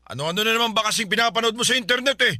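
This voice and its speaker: A man's voice: very high voice, racing, sharp tone, no echo, studio quality, heavily acted. A man acting an evasive husband in a Tagalog radio drama, defensive, scoffing and blustering. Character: indignant, evasive older husband denying an affair.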